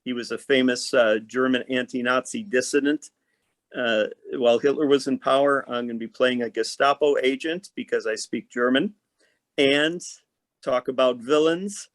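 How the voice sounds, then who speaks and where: A man's voice: mid-range voice, moderate pace, no room sound. A man who works as an actor, talking casually and at length as a podcast guest, explaining things in an orderly way. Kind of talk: casual conversation about work.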